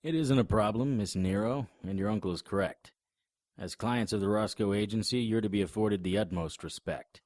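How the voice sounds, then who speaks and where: Deep-voiced wry noir narrator. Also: male voice, moderate pace, darkish tone, no room sound. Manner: dry, wry and understated. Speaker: narrator and lead actor. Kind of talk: noir audio drama narration.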